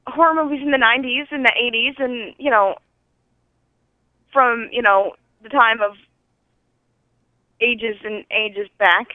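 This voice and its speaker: A woman calling in to a podcast over a thin phone line, giving casual movie feedback in a halting, dryly puzzled way. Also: on the high side, no room sound. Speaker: caller to a podcast. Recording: thin, band-limited telephone line.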